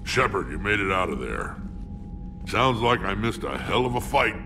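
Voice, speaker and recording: Gravelly alien warrior. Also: male voice, on the low side, very animated, moderate pace. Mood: gruff. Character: gruff male alien warrior, hardened veteran. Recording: game audio with music bed.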